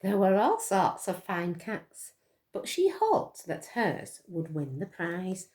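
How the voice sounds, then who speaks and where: Female storyteller for young children: very lively pitch, slow pace, expressive and inviting questions. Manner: expressive, dramatic gasps and questions to listeners. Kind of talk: animated picture-book storytelling to young children.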